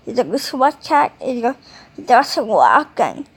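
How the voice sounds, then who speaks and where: A woman talking casually in a halting, rambling way, with mumbled phrases, as in an improvised home video. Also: fairly high pitch, sing-song, breathy edge.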